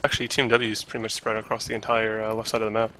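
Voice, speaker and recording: A man giving play-by-play commentary on a live online game match, relaxed and hesitant, with many fillers. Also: on the low side, racing, a little hiss or hum. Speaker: esports commentator.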